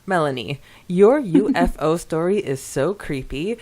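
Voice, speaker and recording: A woman's voice: on the low side, expressive, measured pace, a little mellow. A woman co-hosting a podcast, giggly, reading out listener comments in a casual chatty style. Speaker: podcast co-host.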